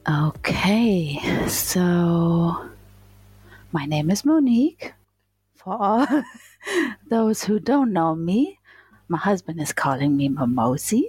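A woman's voice: fairly low pitch, sing-song, slow pace, long pauses, darkish tone, slightly rough, dry recording. A middle-aged woman from eastern Germany with a German accent, telling her own story casually as an interview guest.

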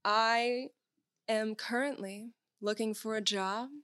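Casting: female guest, young adult, fairly high register, dry jokes at her own expense. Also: fairly even intonation, slow pace, fairly bright tone. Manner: self-deprecating, dry joking. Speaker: podcast guest. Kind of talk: casual chat.

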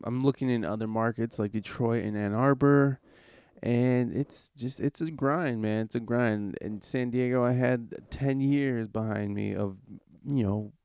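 Guy hosting his podcast, kinda froggy from a cold, rambly and laid back, smooth voice. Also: mid-range voice, a little mellow, no echo, clean studio sound. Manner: rambling, easygoing, many fillers. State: hoarse from a cold. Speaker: podcast host. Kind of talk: casual podcast chat.

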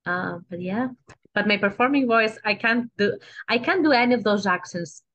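A woman's voice: mid-range voice, animated, normal speed, a bit bright. Greek accent actress lady, chatty, keeps restarting, kinda muffled lo-fi sound. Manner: chatty, restarts sentences, asks "you know?" often. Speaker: guest actress. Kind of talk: casual podcast chat.

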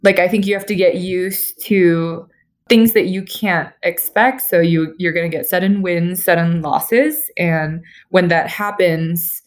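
A woman's voice: fairly low pitch, a little slow. A young woman, an architect and interview guest, telling her story casually with many "like"s over a remote call.

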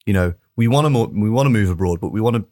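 A man's voice: fairly low pitch, expressive, rapid-fire, mellow, no echo, clean studio sound. A man co-hosting a podcast, moving between casual chat and reading out news in an informal, careful way. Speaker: podcast co-host.

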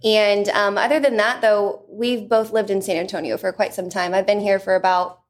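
A woman's voice: mid-range voice, little pitch movement, moderate pace. A woman from Texas, a guest in a casual interview, chatting in a friendly, slightly hesitant way.

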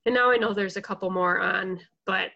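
A woman with a gravelly, fry-tinged voice chats in a relaxed, upbeat way. She co-hosts a casual podcast. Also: medium pitch, a little fast, lo-fi sound. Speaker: podcast co-host. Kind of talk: casual chat.

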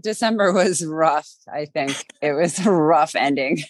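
A woman hosting a podcast, chatting in a calm, reflective way. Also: low-pitched, measured pace, a little hiss or hum. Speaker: podcast host. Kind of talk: casual chat.

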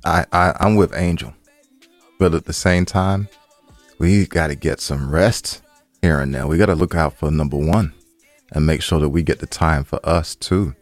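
A man's voice: very deep voice, normal speed, no room sound, soft background music. A man co-hosting a podcast in casual, joking banter, playful and repeating phrases for emphasis. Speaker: podcast co-host.

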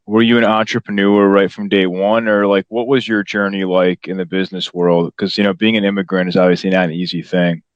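A male podcast host asking relaxed, conversational interview questions. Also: deep voice, brisk, few pauses, no room sound. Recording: dull, dry lo-fi sound.

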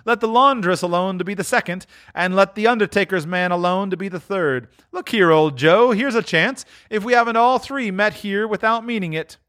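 A man reading aloud, voicing a bold, scornful old charwoman with a shrill, cackling voice. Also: normal speed, few pauses, a bit bright. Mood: brazen, defiant.

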